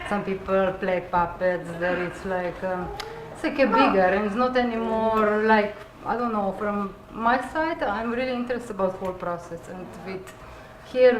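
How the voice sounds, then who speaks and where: Slovenian-accented woman in an echoey, noisy room. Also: medium pitch. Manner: halting, searching for words in simple non-native English. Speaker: puppet designer and puppeteer. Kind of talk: informal Q&A talk after a show. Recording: roomy, noisy live event recording.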